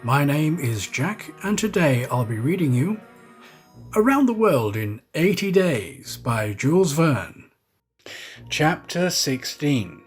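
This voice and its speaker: A man reading a classic novel aloud as a storyteller, with a theatrical, measured delivery. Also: medium pitch. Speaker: storyteller, audiobook reader. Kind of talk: scripted narration of a classic novel.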